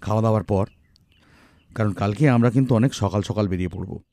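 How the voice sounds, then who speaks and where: Gentleman detective, acted by a man: shrewd, composed, gently teasing, dark mellow voice, audio drama. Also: on the low side, fast talker, lightly acted. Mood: composed, practical.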